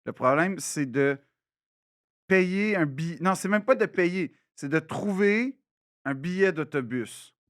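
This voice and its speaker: A man's voice: high-pitched, slow, no room sound, clean studio sound. Québécois man telling a story. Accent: Québécois French. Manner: storytelling, animated, with many restarts. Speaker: podcast co-host. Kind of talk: casual chat. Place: recording studio.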